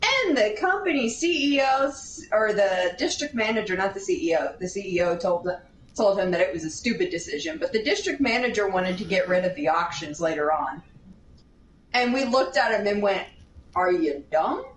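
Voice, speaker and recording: A woman casually telling a rambling anecdote in an animated way, drifting into tangents and acting out what people said. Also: medium pitch, normal speed. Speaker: storyteller. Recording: roomy, distant mic, steady background noise.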